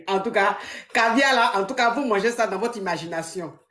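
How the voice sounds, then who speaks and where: Lady coach roasting her callers, laughing all the time, mid pitch. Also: moderate pace. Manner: mocking, laughs often, animated. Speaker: live-stream advice coach taking calls. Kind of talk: teasing call-in banter.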